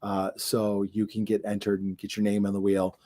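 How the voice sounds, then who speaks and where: A man's voice: lowish voice, completely flat delivery, normal speed, warm tone, slight background noise. A man co-hosting a casual livestream chat. He talks in a chatty, jokey way, often trailing off and restarting. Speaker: co-host.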